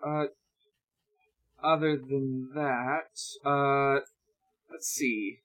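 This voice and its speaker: A man hosting a podcast, chatting casually through news in a halting, hesitant way with drawn-out pauses. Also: medium pitch, steady tone, lo-fi sound. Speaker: podcast host.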